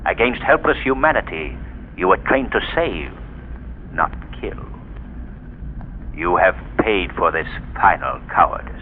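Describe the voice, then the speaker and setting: A man voicing the Shadow, a mysterious crime-fighting hero, in a 1930s radio drama, with a deep, slow, ominous delivery. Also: very animated, a little hiss or hum. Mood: grave. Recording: tinny remastered 1930s radio broadcast.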